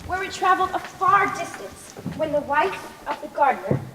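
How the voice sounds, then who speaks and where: A woman's voice: high voice, animated, normal speed. A young teen girl narrating a scripted folk tale as storyteller on a school stage. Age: young teen middle schooler. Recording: echoey hall with faint noise.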